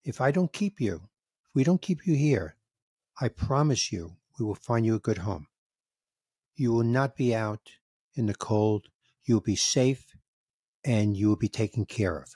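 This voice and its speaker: A man's voice: fairly low pitch, a little slow, long pauses, husky, no room sound. Older man telling a story, dry close mic. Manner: animated storyteller, acts out dialogue, emotional. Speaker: podcast host, comedian. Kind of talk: casual storytelling chat. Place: home room with record shelves. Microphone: studio mic on boom, headphones, video call.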